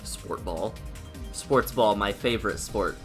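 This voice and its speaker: A man with a stuffy, sniffly nose and a sore throat, streaming a game and chatting casually while thinking aloud with pauses. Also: on the low side, faint music behind. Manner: laid-back, thinking aloud with pauses and hums. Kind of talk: casual gameplay commentary.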